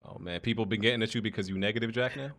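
A man's voice: on the low side, fairly even intonation, rapid-fire, a bit bright. A man co-hosting a podcast, dropping short, casual remarks into the banter.

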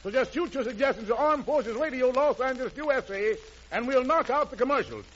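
A man's voice: very high voice, animated, brisk. An American man hosting a 1940s radio variety show with wisecracking, jive-talking showman patter. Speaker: radio show host and emcee. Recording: dull, noisy 1940s radio recording with crowd.

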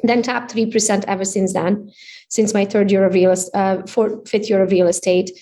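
A woman in her forties from Romania with a Hungarian accent, telling her story as an interview guest in an upbeat, animated way. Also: medium pitch, moderate pace, low-quality recording. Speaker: real estate agent guest. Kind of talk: casual interview chat.

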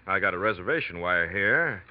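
A folksy American steamship clerk in a vintage radio play, chatty and nosy. His low voice rises and falls freely as he haltingly thinks aloud. The old recording is dull. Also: expressive. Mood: helpful, talkative. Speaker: radio actor. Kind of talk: old-time American radio drama acting.

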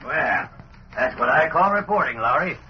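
An actor playing a gruff, sarcastic newspaper editor who scolds in punchy phrases, in 1940s radio style. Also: male voice, mid-range voice, animated, moderate pace, soft background music, natural voice. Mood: scornful. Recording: dull, noisy old radio recording.

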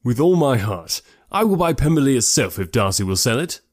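A man voicing an amiable, cheerful young gentleman in an audiobook, friendly, open and earnest. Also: mid-range voice, big pitch swings, fairly quick, no room sound, studio quality. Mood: good-humoured. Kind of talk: classic novel audiobook character voice.

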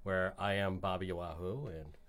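A man's voice: sits low, steady tone, moderate pace, a bit bright. A man guest introducing himself as a performer, matter-of-fact. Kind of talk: casual chat.

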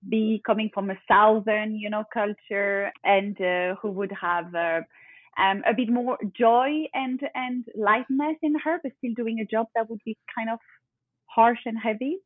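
A woman's voice: on the high side, measured pace, dry recording. A woman with a French accent, a native French speaker, talking casually as an interview guest, thoughtful and sometimes searching for English words. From France. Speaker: guest author. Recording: tinny phone-like call line, dry.

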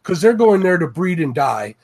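A man's voice: high-pitched, very animated, normal speed, darkish tone. A man from Chicago with a Chicago accent, hosting a fishing livestream in a rambling, self-correcting chat.

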